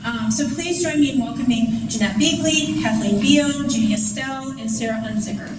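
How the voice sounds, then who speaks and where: A woman's voice: fairly high pitch, brisk. A woman moderating a museum panel, friendly and loose, with fillers. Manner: friendly, with fillers and small laughs. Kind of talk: hosting a public panel talk. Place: museum event hall. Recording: echoey hall from far off, loud noise.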